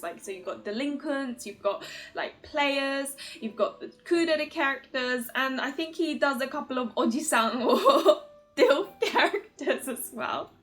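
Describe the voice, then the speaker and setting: A woman's voice: high-pitched, fairly bright tone. A woman hosting her own video, chatting casually to viewers in a giggly, informal, rambling fangirl manner. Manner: giggly, gushing fan talk, informal and rambling. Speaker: host of a video channel about otome games.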